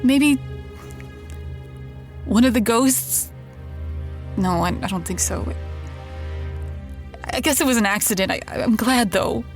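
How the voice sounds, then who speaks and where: An actress playing a stubborn, fatalistic dying woman in an audio drama, speaking in a halting way. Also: a little high, faint music behind. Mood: halting, pained. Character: stubborn, resigned dying woman haunted by ghosts.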